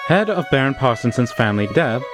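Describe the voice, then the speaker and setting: Casting: male actor as unflappable, courteous anime butler, animated intonation, music underneath. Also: medium pitch, a little fast, close to an everyday voice. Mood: brisk reciting. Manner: formal, composed, polite. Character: suave, unflappable male demon butler, formal and devoted. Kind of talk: anime-style manga dub acting.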